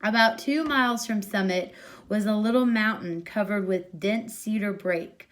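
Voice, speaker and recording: A woman reading a short story aloud as expressive narration, with a medium-pitched voice. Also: moderate pace. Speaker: story reader.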